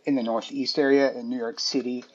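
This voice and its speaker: A man answering interview questions at an ordinary middle pitch. He talks in a hesitant way, full of fillers and restarts. The sound is a little dull and lo-fi, like audio from a call. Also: flat delivery, a little fast, a little mellow. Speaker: guest, design director.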